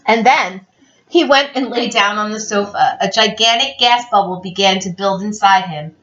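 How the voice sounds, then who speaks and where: A woman reading a children's picture book aloud in a theatrical, expressive, playful way. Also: mid-range voice, normal speed. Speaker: read-aloud storyteller. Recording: roomy, dull lo-fi, distant mic.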